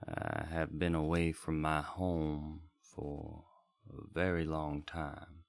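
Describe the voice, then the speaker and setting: A man's voice: extremely low pitch, vocal fry, full character voice. A man playing a wistful, homesick travelling bard in a melancholy, reflective radio drama. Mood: nostalgic, melancholy. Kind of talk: sung folk ballad and in-character radio monologue.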